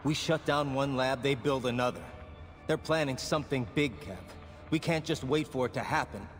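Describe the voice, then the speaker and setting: A man voices a grim cyborg soldier from a video game. He is terse and resolute, in a medium-pitched voice with a long reverb. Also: moderate pace, faint music behind, natural voice. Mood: determined. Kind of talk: video game cutscene acting.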